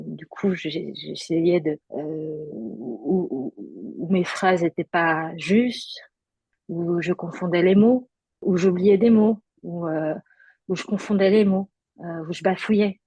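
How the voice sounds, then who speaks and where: A woman's voice: on the low side, dark tone, breathy edge, no room sound, rough recording. A woman guest giving a personal testimony in conversation, hesitant, with many fillers and restarts.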